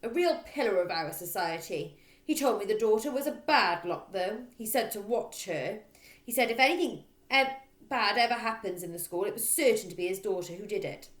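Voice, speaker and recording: A woman voicing a bullying middle-aged headmistress in a storybook, who barks and shouts with open contempt. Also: mid-range voice, reverberant, natural voice. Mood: gruff, brusque.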